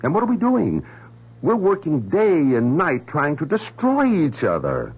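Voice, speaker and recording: A husky, anguished, idealistic scientist. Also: male voice, fairly high pitch, wildly swooping pitch, unhurried, full character voice. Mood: earnest appeal. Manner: earnest, theatrical, emphatic. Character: anguished, idealistic male weapons scientist, pleading and defiant. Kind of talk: 1970s radio drama acting. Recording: dull vintage radio recording, faint music.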